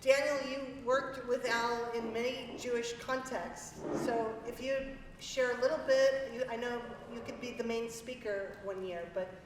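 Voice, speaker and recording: A woman hosting a memorial lecture, introducing speakers in a gentle, slightly hesitant way. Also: on the high side, fairly even intonation, measured pace, hardly any pauses. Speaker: event host. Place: lecture hall. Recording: echoey hall from far off with noise.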